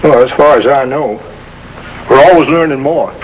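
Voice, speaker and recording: A man's voice: mid-range voice, moderate pace, natural voice. An actor playing a principled, plain-spoken country man with a rural American accent in a 1950s television drama. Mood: patient. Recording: noisy old 1950s TV soundtrack.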